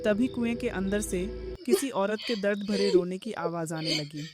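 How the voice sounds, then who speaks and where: A woman's voice: mid-range voice, fairly even intonation, normal speed, over loud music. A woman giving scripted narration of a horror story as its narrator.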